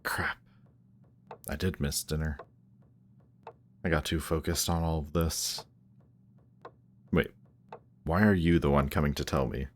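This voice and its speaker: Guy doing a really deep slow-talking villain for audio rp, snarky but secretly soft, bit of background noise. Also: fairly even intonation. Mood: casual, scolding. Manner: sardonic, hesitant when showing care. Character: gruff, guarded male supervillain, secretly caring, sardonic. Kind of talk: roleplay audio drama, addressed to listener.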